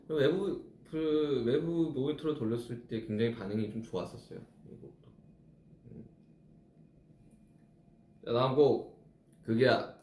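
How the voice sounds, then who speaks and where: A man's voice: mid-range voice, steady tone, moderate pace, pauses often, darkish tone, roomy sound, slight background noise. A man in his thirties, a musician chatting casually with fans on a live stream, reflectively explaining how he writes his songs. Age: 30s.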